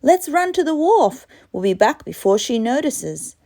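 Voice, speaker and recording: An excitable, pushy little island boy from a storybook, voiced by a woman. He is impatient and headstrong, with a high voice that jumps around. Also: measured pace, heavily acted. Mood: urgent.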